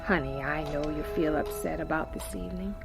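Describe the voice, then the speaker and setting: A woman playing a gentle, devout mother in a radio drama, speaking in a tender, soothing, earnest way. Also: low-pitched, a little fast, vocal fry, music in the background.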